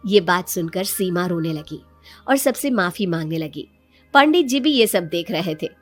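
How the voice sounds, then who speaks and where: A woman's voice: on the low side, animated, normal speed, faint music behind. A woman narrating a scripted moral story in storybook style.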